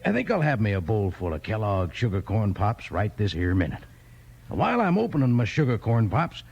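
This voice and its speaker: A man's voice: medium pitch, gravelly, a little mellow, husky. An American man doing folksy, enthusiastic cowboy-style advertising for children as a 1950s radio announcer. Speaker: sponsor announcer and pitchman.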